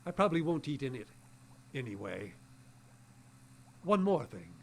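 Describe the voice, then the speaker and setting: A man's voice: mid-range voice, sing-song, unhurried, gravelly. A secretive old scientist for an audio drama. His delivery swings widely, from imperious, clipped commands to obsessive muttering. There is audible noise behind him. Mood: stern, secretive. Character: eccentric old male scientist, secretive, stern, meat-loving. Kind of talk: live audio drama acting.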